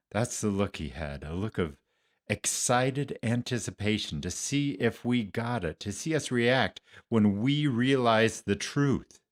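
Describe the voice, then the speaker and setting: Guy acting as a remorseful disciple doing a dramatic monologue, kinda deep male voice, really slow and deliberate, confiding in you. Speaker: congregation member performing a Lenten monologue.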